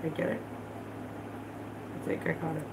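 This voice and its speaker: A woman in her thirties chats casually on a home livestream, her voice sitting a little low and sounding stuffed up from allergies. She talks bluntly and swears freely as she works with her hands. A faint room hum sits behind her, picked up by a phone on the table in her living room. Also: moderate pace, gravelly. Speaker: vlog host.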